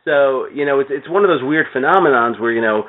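A man's voice: mid-range voice, animated, fast. A middle-aged man chatting casually about comics as a podcast guest, rambling with plenty of "you know". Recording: thin phone-like radio sound.

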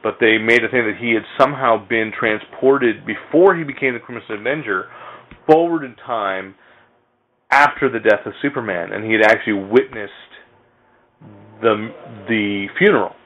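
Low-voiced man on a thin, phone-like recording. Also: normal speed. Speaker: podcast host. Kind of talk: casual comics fan chat.

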